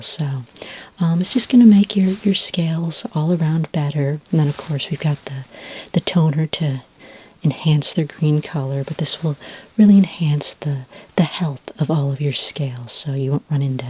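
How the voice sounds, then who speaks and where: A woman acts out a kindly alien skin care consultant in a soft ASMR roleplay. Her voice is low and mellow, very breathy and often close to a whisper. She explains things gently and without hurry, reassuring the listener. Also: poor audio.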